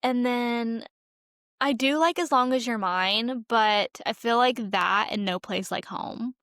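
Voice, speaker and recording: A woman's voice: fairly high pitch, takes it slowly, a bit bright, no echo, studio quality. A woman co-hosting a podcast, chatting casually about a film in an informal, emphatic, slangy way. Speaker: podcast co-host.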